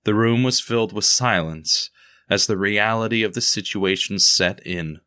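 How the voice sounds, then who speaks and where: A man narrating a radio drama in a measured, somber storytelling voice. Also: fairly low pitch, sharp tone, slight background noise. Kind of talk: scripted narration.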